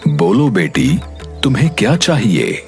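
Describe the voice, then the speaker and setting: A man voicing a solemn, all-seeing sage who speaks gravely and deliberately in an animated fairy tale. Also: mid-range voice, faint music behind.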